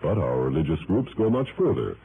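A man's voice: a bass voice, sing-song, a little fast. A man reading a scripted vintage radio public service announcement in a formal, emphatic way. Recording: noisy, tinny vintage radio recording.

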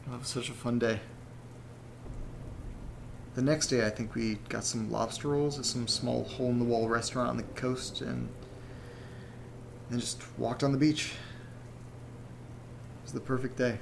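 An actor playing a rattled young man who rambles haltingly through a personal audio diary in a self-deprecating way. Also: medium pitch, fairly even intonation, vocal fry, noisy background. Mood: wistful, wry. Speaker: narrator character of a horror audio drama. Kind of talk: confessional audio diary monologue, conversational.

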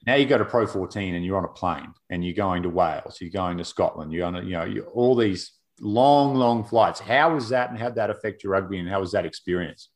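A man interviewing a rugby player, asking questions in a relaxed, chatty way. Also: low-pitched, a little fast, few pauses.

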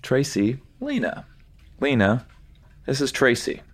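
An actor playing an evasive, smooth-talking man with secrets in a thriller audio drama, wry and placating. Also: medium pitch, huge pitch swings, slow, heavily acted. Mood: uneasy, placating.

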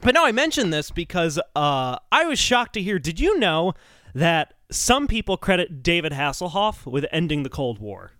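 A man's voice: on the high side, sing-song, normal speed, a bit bright, no echo, clean studio sound. A man hosting a comedic podcast, chatting casually with a jokey, mock-serious delivery. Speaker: podcast host.

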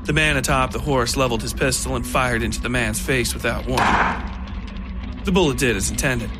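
A man narrating scripted dark fiction as the storyteller of a horror podcast. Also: mid-range voice. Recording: music under the voice.

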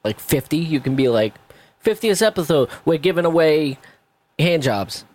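Animated teasing male podcast co-host. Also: a little high, moderate pace. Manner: teasing, animated, swears casually. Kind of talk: casual podcast banter.

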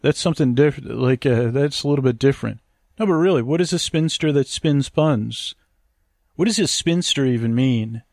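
A man with a gravelly voice, rambling as a podcast host in a hesitant, stammering, meandering monologue meant for falling asleep to. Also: mid-range voice, normal speed, darkish tone, no echo, clean studio sound.

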